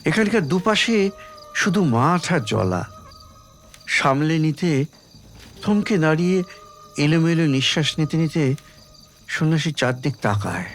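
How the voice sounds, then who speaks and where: A man reading literary fiction as dramatic audiobook narration, measured, with deliberate pauses between phrases. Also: fairly high pitch, very animated, a bit bright. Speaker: story reader.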